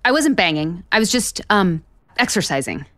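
A woman's voice: medium pitch, sing-song, normal speed, fairly bright tone, no room sound, clean studio sound. An actress playing a blunt, exasperated single woman in a romantic comedy audio drama, sarcastic, emphatic and profane. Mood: awkward, wry. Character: blunt, exasperated, foul-mouthed single woman, sharp-tongued cynic.

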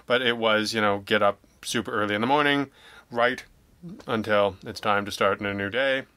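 Bright, clear-voiced man in halting chat. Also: fairly low pitch. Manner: halting, self-deprecating, acts out imagined remarks. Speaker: guest, audio drama writer and producer. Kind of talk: casual interview chat.

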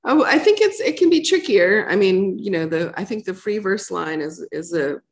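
A woman's voice: mid-range voice, animated, normal speed. A middle-aged woman poet answering audience questions in a reflective, conversational way, thinking aloud as she goes. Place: lecture room with a projection screen.

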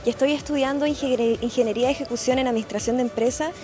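A woman's voice: sits high, steady tone, rapid-fire. A young Chilean woman who is under the weather, talking hesitantly in an interview with many restarts. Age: young adult. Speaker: pageant contestant. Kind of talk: casual interview. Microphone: handheld mic. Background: music.

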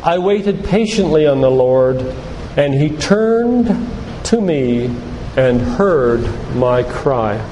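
Older guy doing an earnest church talk, kinda higher voice, big echo and some hiss or noise. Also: animated, slow pace, dark tone. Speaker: physician and lay preacher giving a church talk. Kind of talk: sermon-like lecture with read-out quotations. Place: church hall, live talk to congregation. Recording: reverberant church hall, background noise.

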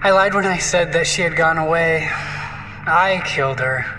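A man's voice: on the high side, moderate pace, over music, close to an everyday voice, poor audio. An actor playing a haunted, hysterical man in a horror audio drama, frantic and pleading in a melodramatic way. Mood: haunted, confessing. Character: hysterical, haunted man raving about a witch wife.

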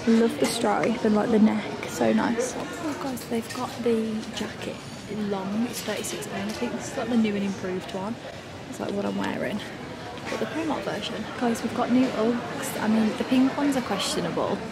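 A young woman talks fast and gushes as she browses a big clothing store with a handheld camera. Her voice carries some vocal fry. Loud shop noise fills the background. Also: a little high. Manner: chatty, gushing, enthusiastic. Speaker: fashion vlogger. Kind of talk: casual shopping vlog. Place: large busy clothing store indoors. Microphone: handheld camera mic.

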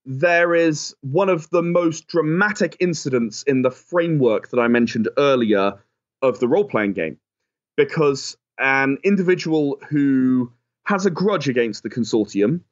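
A man's voice: medium pitch, muffled recording. A man being interviewed as a game creator and producer, explaining his work conversationally.

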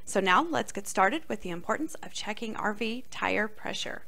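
A middle-aged woman speaks as a podcast co-host at an average pitch. She delivers scripted lines into a close boom-arm microphone in a small indoor studio. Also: animated, moderate pace, gravelly, bright, forceful tone.

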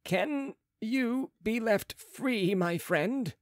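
A man voicing a cautious, courteous chief surgeon with a formal, deliberate manner in an audiobook. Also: sits high, sing-song, gravelly, no room sound, studio quality, voice pushed up, heavily acted. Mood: hesitant, courteous. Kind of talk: audiobook character voice.

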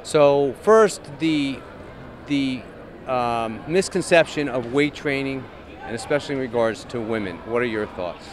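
A man's voice: medium pitch, unhurried. A man in his forties hosting an upbeat, casual interview at a fitness expo, speaking into a handheld mic with frequent restarts. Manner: upbeat, enthusiastic, with many restarts. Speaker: host. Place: large indoor expo hall, in front of a backdrop. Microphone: handheld microphone.

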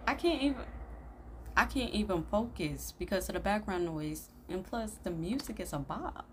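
A woman's voice: fairly low pitch, lively intonation, moderate pace, heavy background noise. A young adult woman giving casual, slangy, opinionated reaction commentary, prone to exclaiming. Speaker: YouTube reaction host.

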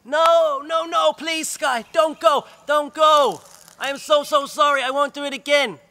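A man voicing a frightened village woman who begs and pleads in a high, strained voice. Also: fairly even intonation, a little slow, bright, forceful tone, full character voice. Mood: pleading.

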